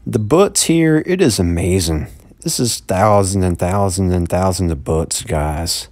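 A man's voice: low voice, lively intonation, unhurried, darkish tone, dry recording. Vlogger in his thirties, chatty and repetitive, recorded dry on a phone. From Mississippi, USA. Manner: rambling, repeats words, upbeat. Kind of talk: casual walk-through vlog. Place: large indoor store. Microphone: handheld phone.

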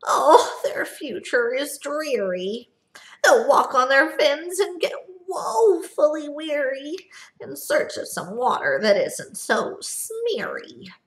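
A woman voicing a small, grumpy old male forest guardian who scolds in a croaky, querulous voice and keeps coughing. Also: sits high, very animated, takes it slowly, a bit bright, voice pushed up, exaggerated voice. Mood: indignant. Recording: echoey room.

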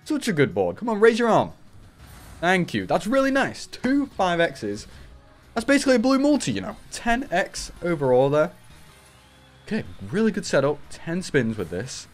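A young man, hoarse from a cold, giving live commentary on a slots stream through a headset and jumping up loudly at big wins. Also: high-pitched, huge pitch swings, normal speed, faint music behind. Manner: shouts and rises sharply at big wins. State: raspy from a cold.

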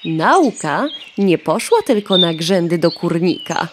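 A cheeky, self-absorbed young hen in a children's radio play. The delivery is nonchalant and flippant, with lively swings of pitch. Faint birdsong and background noise sit behind the voice. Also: female voice, slow.

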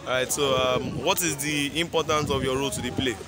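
A man's voice: mid-range voice, steady tone, brisk, heavy background noise. A man interviewing people on the spot outdoors. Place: outdoors among a crowd on a campus. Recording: loud outdoor crowd behind.

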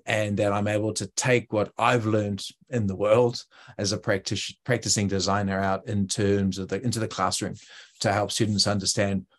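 A man with a New Zealand accent and a fairly low voice gives an informal talk over a video call. His phrasing is conversational and a little hesitant, as a guest designer explaining his work. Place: indoor room on a video call.

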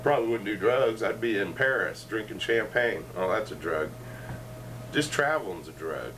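A man's voice: lowish voice, expressive, moderate pace, fairly bright tone. A middle-aged man hosts a loose, meandering chat at home, joking and drifting between asides. He sounds somewhat distant from a camera mic across the room. A faint wash of room noise sits underneath. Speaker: show host. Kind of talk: rambling casual chat. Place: cluttered living room, indoors. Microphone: fixed camera across the room.

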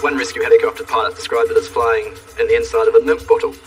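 Brief: quick male expert, very animated pitch, distant mic, music under. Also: vocal fry. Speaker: expert interviewee. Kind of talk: documentary interview commentary.

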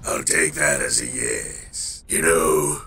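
A man playing a sardonic, swaggering villainous robot warlord in an animated fan dub, mocking and theatrical in his drawl. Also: very deep voice, takes it slowly, creaky, a little hiss or hum, full character voice. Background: musical score.

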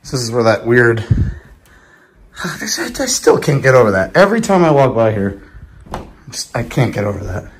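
A man giving casual, halting, rambling vlog commentary as host of a walking tour. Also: mid-range voice, sing-song. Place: walking through empty new houses and outside. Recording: handheld camera with clear background noise.

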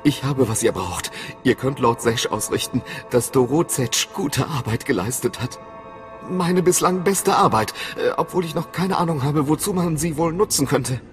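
An actor playing a nervous, boastful middle-aged weapons inventor in a video game, hesitant and eager to please. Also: male voice, mid-range voice, moderate pace, close to an everyday voice. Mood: proud, eager. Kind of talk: video game voice acting in German. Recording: music behind the voice.

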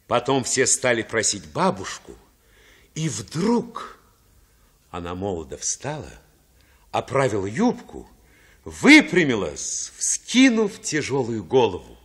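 A man playing the narrator of a radio drama, recalling childhood in an expressive, absorbed, poetic manner. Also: medium pitch, slow, breathy edge, exaggerated voice. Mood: hushed wonder. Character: male storyteller recalling his childhood with wonder. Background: music.

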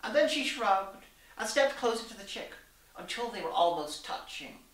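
A woman's voice: mid-range voice, animated, normal speed. A young woman reading a story aloud in a theatrical, arch, mock-genteel manner. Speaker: story reader. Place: small room indoors. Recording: echoey room, distant mic.

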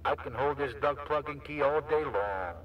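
A man's voice: a little high, moderate pace, highs cut off, background noise. A man chatting casually as a CB radio operator, with repetitive, slangy patter. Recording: distant CB radio transmission.

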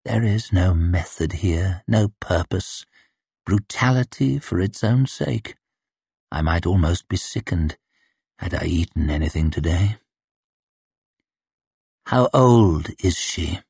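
A man voicing a bitter, crippled male torturer who talks in a low, quiet, cutting way. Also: very animated, measured pace, husky, dry recording. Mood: cold contempt.

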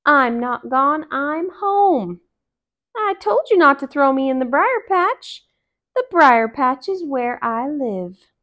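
A woman voicing a brash, tricky, hot-tempered male rabbit from a storybook, with loud, theatrical bluster. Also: high voice, lively intonation, slow, voice pushed up, heavily acted. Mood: gleeful.

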